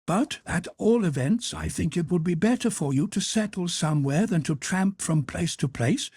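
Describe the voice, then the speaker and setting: A man's voice: high voice, animated, normal speed, a little mellow, breathy edge, no room sound, voice pushed up. A man doing an effusive fifty-year-old head cook. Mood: coaxing. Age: 50s. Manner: effusive, pressing, motherly.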